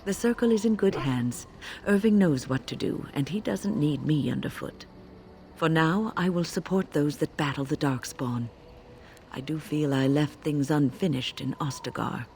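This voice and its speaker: An actress playing a dutiful, wry older mage in a video game, speaking in a measured, resolute and formal way. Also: female voice, low voice, a little mellow, a little hiss or hum. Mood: composed. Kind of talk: video game character acting.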